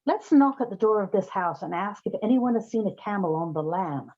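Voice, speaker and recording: A woman's voice: mid-range voice, animated, fairly quick, darkish tone. A woman playing a wry, practical detective in a comic radio play, on a slightly dull recording. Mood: brisk. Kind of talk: comic old-time radio play acting.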